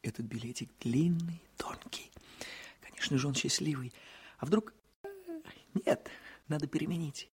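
An actor playing an earnest, hesitant young ensign in a Soviet radio drama, with a husky voice. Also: male voice, a little high, normal speed, long pauses. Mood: eager, nervous. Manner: hesitant, eager. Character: earnest, nervous young ensign new to battery.

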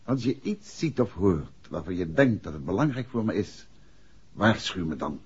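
An actor playing a calm, probing gentleman detective in a 1960s Dutch radio drama. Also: low-pitched, moderate pace, a little hiss or hum. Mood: reassuring, firm. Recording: dull 1960s radio recording.

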